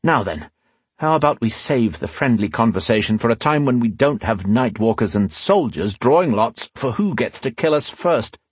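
A man's voice: medium pitch, animated, moderate pace, husky, no room sound. A man playing an impatient, sardonic fellow in a fantasy audiobook, talking with exasperated sarcasm. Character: impatient, sardonic man, self-declared fool. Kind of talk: fantasy audiobook character acting.

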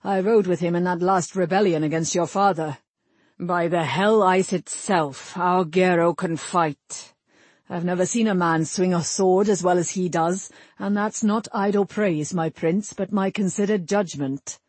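Female narrator playing seasoned fighting lord, hearty and frank, husky, measured archaic phrasing, dry recording. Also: fairly low pitch, steady tone, darkish tone, no room sound. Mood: frank, admiring. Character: hearty older male warrior lord, loyal drinking companion.

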